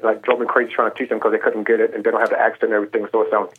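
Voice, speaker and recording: A choir director from Puerto Rico, interviewed over the phone, talking with many ums and restarts. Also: male voice, lowish voice, flat delivery, rapid-fire, husky. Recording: tinny phone line.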